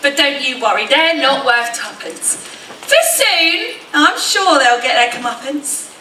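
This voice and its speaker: A woman's voice: high-pitched, lively intonation, measured pace, pushed and bright, slight background noise, exaggerated voice. A woman playing a wry, reassuring pantomime fairy who narrates in theatrical rhyme. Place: large hall stage, indoors. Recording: echoey stage hall from far off.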